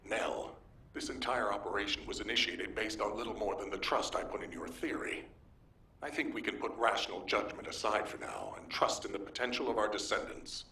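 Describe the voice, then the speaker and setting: An actor playing a decisive, authoritative military commander in a video game, giving orders firmly. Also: male voice, lowish voice, a little fast, pushed and bright. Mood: firm, commanding. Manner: firm, commanding delivery. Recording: echoing, distant from the mic.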